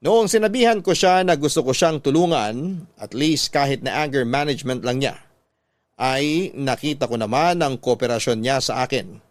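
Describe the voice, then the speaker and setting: A man's voice: on the high side, no room sound. A Filipino man reading a heartfelt letter as scripted narration in a radio storytelling style.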